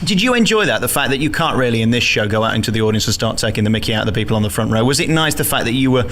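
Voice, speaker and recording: A man interviewing a stage actor, putting his questions in a brisk, rehearsed way. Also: mid-range voice, expressive, fairly bright tone.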